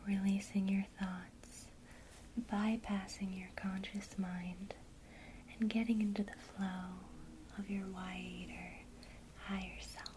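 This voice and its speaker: A woman whispering softly and calmly through a guided ASMR lesson on casting a spell. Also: mid-range voice, background noise. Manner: soft unvoiced whisper, slow and calm. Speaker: ASMR creator teaching witchcraft.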